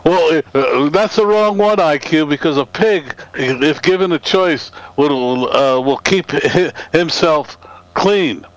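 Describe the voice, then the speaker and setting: A man co-hosting a political talk radio show, speaking haltingly with many pauses and fillers. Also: a little high, low-quality recording.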